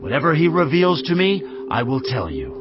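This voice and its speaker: Fiery old testament prophet, theatrical, kinda high voice, dull audio, bible drama. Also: male voice, sing-song, brisk. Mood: firm. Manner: declamatory, theatrical. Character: proud, fiery ancient male prophet. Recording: dull audio under loud music.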